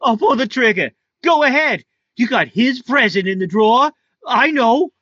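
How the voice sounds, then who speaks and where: An actor playing a volatile, jealous young husband in a 1950s-style radio crime drama, with tense, clipped delivery. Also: male voice, very high-pitched, expressive, moderate pace, bright, forceful tone, dry recording, dull audio, exaggerated voice. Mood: menacing, goading. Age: young adult.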